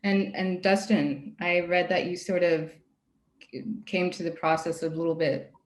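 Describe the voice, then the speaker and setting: A festival programmer moderating a recorded Q&A, asking friendly, curious questions. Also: female voice, lowish voice, fairly even intonation, a little slow, echoey room. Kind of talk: hosting a recorded Q&A.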